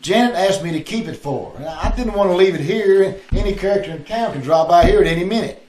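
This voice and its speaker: A man's voice: sits high, fast talker, darkish tone, lightly acted. A man playing a glib, wisecracking amateur sleuth in the style of 1940s radio detective drama, over a video call. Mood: defensive, explaining. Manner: glib, bantering. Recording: roomy, echoing video-call audio.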